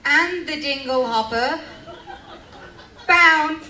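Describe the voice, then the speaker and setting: Woman acting very high, slow-talking bemused young woman. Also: exaggerated voice. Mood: teasing. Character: Jilly, bemused young woman seeking hidden friends in a game. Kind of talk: amateur stage radio play. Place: public lounge on a cruise ship. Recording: echoey distant mic, loud crowd and noise.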